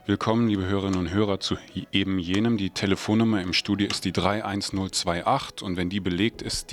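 A man hosting a radio magazine, presenting the programme to listeners. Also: lowish voice. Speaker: radio host. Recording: studio voice over music bed. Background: music.

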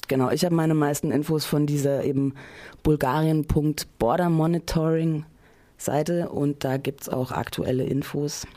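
Casting: radio presenter of unclear sex, dark relaxed tone, loosely delivering a report with colloquial, hesitant phrasing. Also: medium pitch, normal speed. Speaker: radio show host. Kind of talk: informal radio report, partly scripted.

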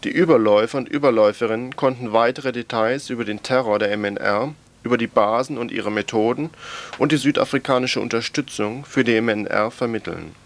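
A man's voice: mid-range voice, fairly quick. A man presenting radio news, reading scripted political reports and programme notes aloud.

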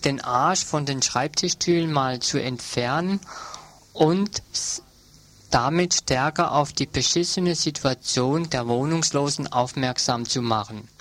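A man of medium pitch delivers a radio commentary. He is blunt and sardonic, with an indignant edge to his wording. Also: a little slow, fairly bright tone.

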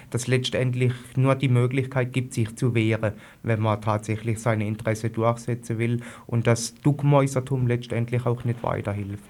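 Man, medium pitch, deliberate phrasing, rhetorical repetition, political discussion guest. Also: darkish tone. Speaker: discussion guest, former postal worker.

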